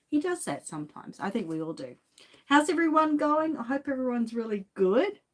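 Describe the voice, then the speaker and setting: A woman's voice: a little high, sing-song, normal speed. An Australian woman with a lingering cough chatting casually on a livestream, greeting viewers by name from her home studio. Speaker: reborn doll artist and shop owner.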